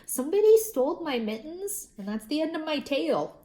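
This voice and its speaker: A woman voicing an excitable little kindergarten girl who shouts in shrill, breathless outbursts. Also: fairly quick. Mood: eager.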